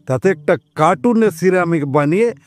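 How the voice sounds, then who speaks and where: Boisterous comic dad for an audio drama male actor: middle-aged, boastful, protective, high-pitched and animated, music underneath. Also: normal speed. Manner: theatrical, teasing, exclamatory with colloquial banter. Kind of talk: Bengali audio story acting.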